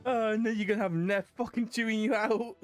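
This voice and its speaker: A man's voice: high voice, fairly even intonation, normal speed, soft background music. A man giving casual, crude Let's Play commentary, often laughing as he reacts. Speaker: gaming streamer.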